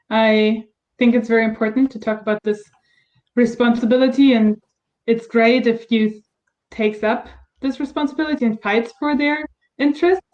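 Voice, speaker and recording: A woman's voice: fairly high pitch, a little mellow. Polite woman moderating a video panel. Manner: polite, measured questions. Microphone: video call. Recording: dull video call audio.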